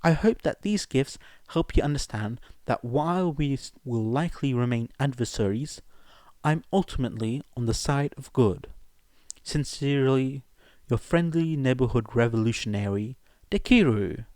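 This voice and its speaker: A man's voice: medium pitch, moderate pace, darkish tone, dry recording, voice pushed up, natural voice. A man voicing a polite, self-assured revolutionary reading out a formal letter in an audiobook.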